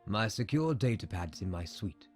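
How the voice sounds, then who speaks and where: A man playing a smooth, menacing corrupt politician in an audio comic, speaking with deliberate condescension. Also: lowish voice, lively intonation, close to an everyday voice. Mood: suave. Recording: music behind the voice.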